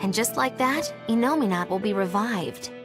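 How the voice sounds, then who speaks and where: Actress as an animated, precise woman adventurer. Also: mid-range voice, normal speed, natural voice. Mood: reasoning. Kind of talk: video game cutscene voice acting. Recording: game music behind voice.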